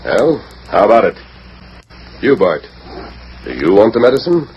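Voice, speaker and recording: A man's voice: lowish voice, very animated, normal speed, a little hiss or hum. A man acting a stern, righteous, commanding hero in a 1940s radio western, emphatic in delivery, on a muffled old recording. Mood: stern, resolute. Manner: authoritative, emphatic, declamatory.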